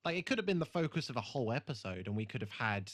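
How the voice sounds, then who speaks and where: A man co-hosting a casual TV rewatch podcast, halting as he thinks aloud, with some swearing. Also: mid-range voice, very animated, a bit bright. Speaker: podcast co-host.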